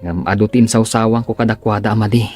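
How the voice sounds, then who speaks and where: A man playing an earnest, stubborn young hospital patient in an Ilocano radio drama, with a husky voice. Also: fairly low pitch, fairly even intonation, quick pace, a little mellow, soft background music, close to an everyday voice.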